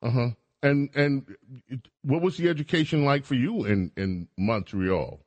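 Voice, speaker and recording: A man's voice: medium pitch, lively intonation, unhurried, no room sound. A man hosting a talk radio show in a studio, chatting with guests in a sarcastic, mocking way. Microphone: studio microphone.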